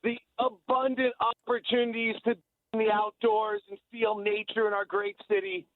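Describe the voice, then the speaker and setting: A man's voice: high-pitched, flat delivery, moderate pace, no room sound. An American man, a sports broadcaster guesting on radio, chatting casually in an effusive, rambling way. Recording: thin, phone-like line.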